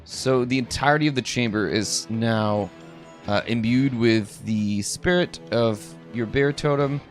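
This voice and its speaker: A man's voice: mid-range voice, unhurried. A man running a live tabletop role-playing game as game master, switching between narration and rules talk. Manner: hums and mutters while working out rules. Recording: music playing behind the voice.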